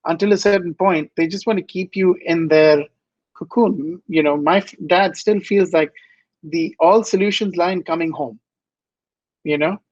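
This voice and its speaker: A man's voice: high-pitched, normal speed, darkish tone, dry recording, highs cut off. A man from India, speaking earnestly and with passion as a guest in an interview, often repeating phrases for emphasis. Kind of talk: inspirational interview answers.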